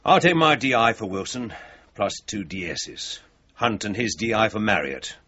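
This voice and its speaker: An actor playing a hard-edged British police officer, clipped and commanding, in a radio drama. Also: male voice, on the low side, lively intonation, moderate pace, sharp tone, breathy edge, exaggerated voice. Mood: businesslike, firm. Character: brisk, hard-edged male police officer making an arrest. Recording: dull lo-fi radio drama recording.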